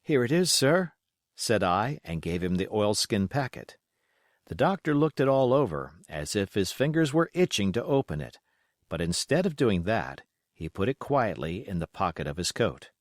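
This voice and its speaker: A man reading a storybook aloud as scripted, expressive narration. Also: on the low side, moderate pace, dry recording, studio quality. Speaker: audiobook reader.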